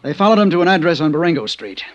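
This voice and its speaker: Fast-talking, eager radio investigator, muffled sound. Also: male voice, a little high, sing-song. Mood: urgent. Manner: brisk, urgent. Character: eager, brisk male colleague bringing a break. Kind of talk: 1950s radio drama acting. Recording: dull, noisy old radio recording.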